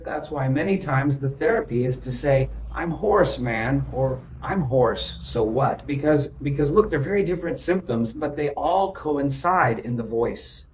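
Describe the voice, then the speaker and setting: A man's voice: mid-range voice, animated, a little slow, hardly any pauses, a little hiss or hum, low-quality recording. A man speaking as a therapist in a therapy session, insistent and repetitive, often checking whether his listeners understand. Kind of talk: group therapy session talk.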